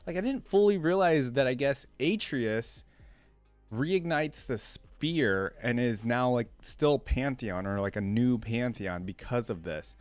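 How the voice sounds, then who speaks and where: A man hosting a reaction video, switching between reading aloud and casual, rambling commentary as he thinks out loud. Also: medium pitch, lively intonation, unhurried, no room sound, soft background music.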